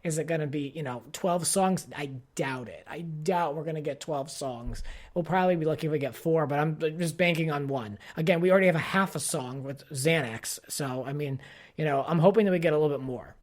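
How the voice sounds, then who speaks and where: A man's voice: fairly high pitch, fairly quick. Man ranting casually about pop culture. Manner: exasperated, opinionated fan, heavy use of "like". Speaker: podcast host.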